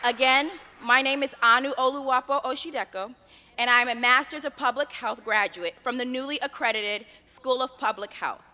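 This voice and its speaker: Bright-voiced young woman giving a commencement speech. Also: sits high, big-room sound, some chatter behind, slight background noise. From United States. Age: young adult. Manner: measured, earnest, inspirational delivery with rhythmic lists. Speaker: graduation student speaker. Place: outdoor graduation ceremony on a field.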